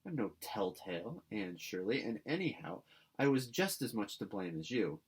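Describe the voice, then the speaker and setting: A man reading a storybook as an excitable, timid young girl, exclamatory and dramatic. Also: lowish voice, normal speed, husky. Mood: scornful.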